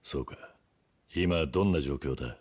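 A man's voice: very deep voice, moderate pace, a little mellow, breathy edge. An actor playing a stoic, resolute yakuza man in a Japanese video game cutscene, speaking tersely. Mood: calm, grave. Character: stoic, resolute middle-aged yakuza man, tough and composed. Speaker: video game lead character.

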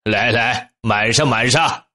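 A woman voicing a haughty, irritable middle-aged gentleman in an audiobook, curt and dismissive. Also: rumbling low, slow pace, pitched below the performer's own voice, exaggerated voice. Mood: jovial. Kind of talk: audiobook character acting.

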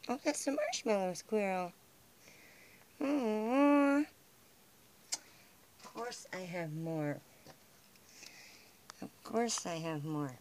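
An American woman talks to squirrels outside her house, her voice soft and hushed at times, then rising again. She speaks slowly, and her pitch moves around a lot. The handheld camera picks up a faint hiss behind her. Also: lively intonation. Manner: hushed, chatty, talking to the animals. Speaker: vlogger feeding squirrels. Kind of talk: casual commentary while filming. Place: outdoors by a house, on snowy steps.

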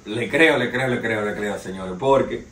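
A young man with a Dominican Spanish accent rambles through celebrity gossip and street stories from his sofa, with a fairly low voice. He was recorded by a camera across a small, bare room, so his voice sounds distant and roomy under faint hiss. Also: moderate pace, fairly bright tone. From Dominican Republic. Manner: loose, street-slang storytelling, addresses "señores". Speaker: podcast host. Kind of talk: casual entertainment news commentary. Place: small living room, indoors, seated on a sofa. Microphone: far camera, no mic seen. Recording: roomy echo, distant mic, faint noise.

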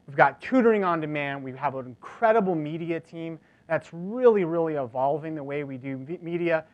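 Casual, energetic man giving a keynote. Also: a little high, fairly quick, highs cut off. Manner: energetic, casual and chatty, many "like" fillers. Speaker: keynote speaker. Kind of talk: conference keynote talk. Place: large lecture hall, indoors. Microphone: lapel mic.

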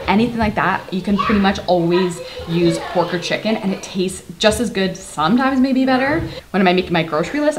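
A woman from Canada in her thirties talks casually through her groceries at home, her voice sitting low for a woman. She speaks in a loose, chatty way with plenty of "like" and "um". A steady hiss of background noise runs under the recording. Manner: chatty, lots of "like" and "um" fillers. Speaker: vlogger. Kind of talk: casual grocery haul chat. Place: kitchen at home, indoors.

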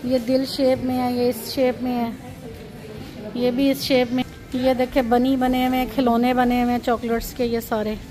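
High-voiced woman touring a shop. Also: flat delivery, normal speed, music in the background, poor audio. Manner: pointing things out, repeating "look at this". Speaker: vlogger. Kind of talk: casual walking tour narration. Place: chocolate shop and busy shopping street.

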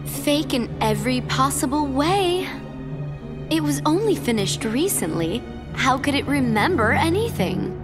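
A sing-song, taunting young villainess in a game cutscene, her high voice swooping up and down as she drawls each cruel line slowly. Loud game music swells behind her. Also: big pitch swings. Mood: mocking. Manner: taunting, gloating, slow and teasing. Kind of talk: video game voice acting. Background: loud game score.

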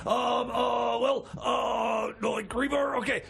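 Guy putting on a squeaky gravelly raspy voice, keeps stammering, half yelling. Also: slow pace, exaggerated voice. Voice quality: gravelly, raspy, high strained voice. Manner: stammers constantly, loud and excitable. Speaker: radio show co-host. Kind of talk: improvised comedy radio theater.

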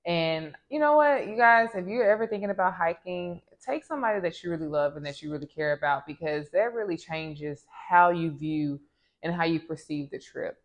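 A woman of about thirty from the American South talks straight to camera in a chatty, easygoing way. Her voice sits somewhat low, and it is close and clean from a lapel mic in a small room at home. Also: lively intonation, normal speed. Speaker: vlogger. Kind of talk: casual travel vlog.

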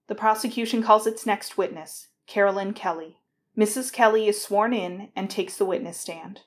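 A woman reading formal scripted narration of a courtroom trial in a measured, announcing manner. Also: medium pitch, natural voice. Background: music.

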